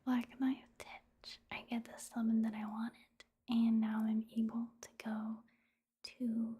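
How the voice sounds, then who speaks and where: A woman whispers her way through casual video game commentary in ASMR style. Her voice is breathy and fairly high, and she keeps a gentle, unhurried flow.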